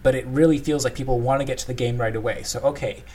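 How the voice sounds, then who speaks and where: A man's voice: medium pitch, fairly even intonation, fast talker, a little mellow. A man co-hosting a casual discussion, explaining his thoughts in a thoughtful, conversational way. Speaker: podcast co-host discussing game design.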